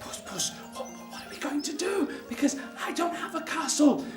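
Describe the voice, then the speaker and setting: A man's voice: high-pitched, big pitch swings, normal speed, voice pushed up. A man voicing a nervous young male peasant who stammers when worried. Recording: roomy, distant, faint guitar.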